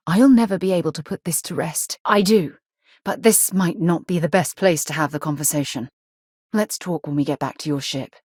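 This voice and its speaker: An actress voicing a self-assured, earnest and wry ex-military space explorer in a video game, articulate and composed. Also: female voice, sits low, darkish tone, husky, no echo, studio quality. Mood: reflective. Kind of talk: video game character dialogue.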